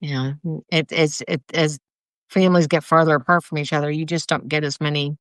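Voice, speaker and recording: A speaker in a casual conversation, reflective and soft-spoken, often restarting sentences. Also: mid-range voice, level tone, brisk, clean tone, no echo, clean studio sound.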